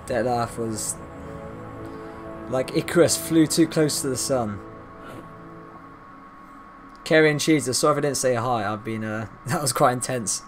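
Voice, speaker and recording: Male game streamer, ordinary mid-pitched voice, chatty with viewers, game music clearly audible behind. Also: a little slow, long pauses. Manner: chatty, reacting aloud and addressing viewers. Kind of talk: casual live stream commentary over a video game. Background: video game music and sound.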